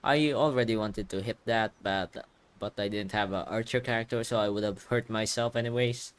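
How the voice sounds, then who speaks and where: A man's voice: on the low side, moderate pace, clear, resonant tone. A man giving casual, sarcastic and profane commentary as he records himself playing a video game. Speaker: gamer recording a playthrough. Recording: game music behind the voice. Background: video game music.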